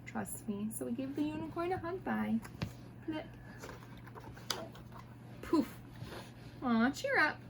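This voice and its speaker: High-voiced woman reading a children's storybook. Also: takes it slowly, creaky, pauses often, background noise. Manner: expressive, playful, addressing young listeners. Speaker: mother reading picture books aloud to children. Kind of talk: animated storybook read-aloud.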